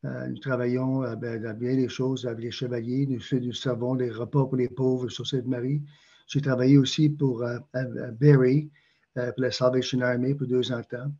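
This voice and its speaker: A man's voice: mid-range voice, little pitch movement, normal speed, warm tone, slightly rough, muffled recording. An older man with a Canadian French accent, answering interview questions hesitantly with many fillers and also leading a prayer. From Quebec, Canada. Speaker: interview guest.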